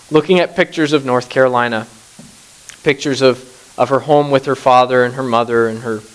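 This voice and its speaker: A man's voice: sits high, rough recording. A middle-aged man who grew up in Bangladesh, preaching a sermon with scripture reading and prayer in an earnest manner that rises to emphasis. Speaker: pastor.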